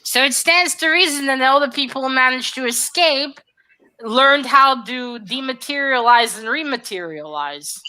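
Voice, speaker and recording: Female co-host, crisp forward bright tone, slightly high pitch, emphatic storyteller in informal book talk. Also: expressive, normal speed, poor audio. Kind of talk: casual book discussion.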